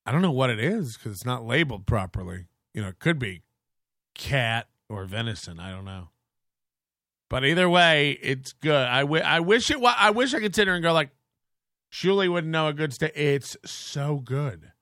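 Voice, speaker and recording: Man, podcast host, rambling crude chat, fairly high voice, bright tone. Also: big pitch swings, moderate pace, dry recording, clean studio sound. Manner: crude, joking, meandering with frequent restarts.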